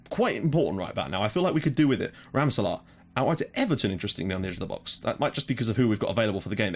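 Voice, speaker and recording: A man casually commentating on his football management game. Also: medium pitch. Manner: chatty, excitable during goals. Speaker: let's play host.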